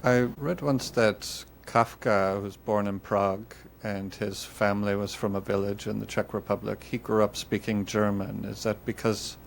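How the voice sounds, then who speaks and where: A man hosting a podcast who asks his guest measured, plain questions. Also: fairly low pitch, fairly even intonation, creaky, a little hiss or hum. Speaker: podcast host. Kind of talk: interview questions.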